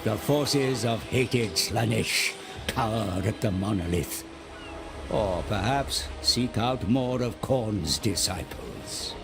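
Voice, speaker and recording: A man playing a sly, servile seer who guides demonic warlords, speaking in a theatrical, gravelly voice as dramatic dark fantasy game narration. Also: lowish voice. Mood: ominous, urging slaughter. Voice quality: creaky, gravelly. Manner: theatrical, deliberate, sly and flattering. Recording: music under the voice. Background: dramatic music.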